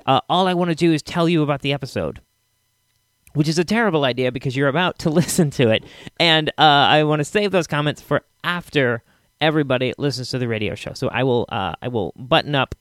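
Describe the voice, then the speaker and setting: Male podcast host, informal and a bit halting, slightly high voice, dry close-mic sound. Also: a bit bright, clear, resonant tone, no room sound. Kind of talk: casual solo podcast introduction.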